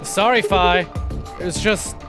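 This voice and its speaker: A man's voice: sits high, fairly even intonation, slow. A man streaming a visual novel game, reading aloud and commenting in a wry, conversational way. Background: faint game music.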